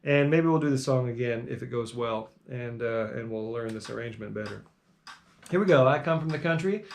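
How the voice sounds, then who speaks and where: Relaxed male guitar teacher counting in. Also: medium pitch, a little fast, creaky. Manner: relaxed, explains step by step, counts in beats. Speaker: guitar teacher leading a jam. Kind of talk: live online music lesson. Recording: loud bluegrass guitar playing along.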